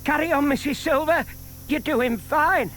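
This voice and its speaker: An actor playing a shy, scheming older gentleman who explains things patiently and politely in a children's audio drama. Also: very high voice, measured pace. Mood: encouraging. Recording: audible hiss from old cassette tape.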